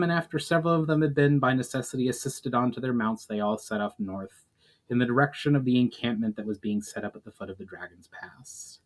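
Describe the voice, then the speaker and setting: A man's voice: medium pitch, low-quality recording. A man giving a dramatic reading of a fantasy story as its narrator. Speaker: author reading a book chapter aloud.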